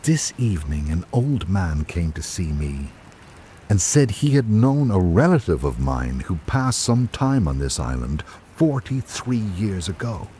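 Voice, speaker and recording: An actor playing a travelling writer, narrating an island journal in a reflective, literary way for a radio drama. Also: male voice, low-pitched, big pitch swings, measured pace, dark tone, slight background noise. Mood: contemplative.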